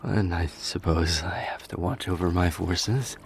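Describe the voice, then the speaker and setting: Male actor as formal, polite fantasy king, low-pitched and unhurried, faintly hesitant, for ASMR roleplay. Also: soft background music. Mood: pensive, uneasy. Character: courteous, reflective warrior king commanding a fleet. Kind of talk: ASMR audio roleplay acting.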